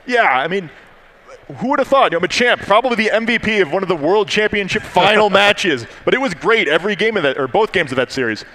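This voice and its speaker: Very fast-talking, high-voiced man commentating. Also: expressive, sharp tone. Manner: admiring, effusive. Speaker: tournament co-commentator. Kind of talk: live esports analysis, conversational.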